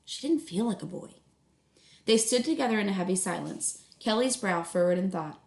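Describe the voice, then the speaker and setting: A woman reading a children's book aloud as a teacher, instructive, pausing to put questions to students. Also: mid-range voice, animated, creaky. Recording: echoey room.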